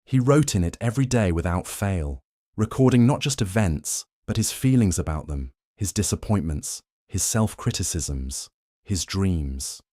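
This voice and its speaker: Male bedtime narrator: deep, warm-toned, unhurried and even, dry booth sound. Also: no room sound. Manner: slow, measured and soothing. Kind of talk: calm scripted bedtime narration.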